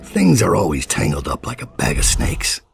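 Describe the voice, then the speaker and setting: Gravelly, brooding old assassin. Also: male voice, low voice, wildly swooping pitch, exaggerated voice. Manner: grim, slow, brooding narration. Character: weary aging male assassin, grim and regretful. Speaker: narrator. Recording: game cutscene under loud music.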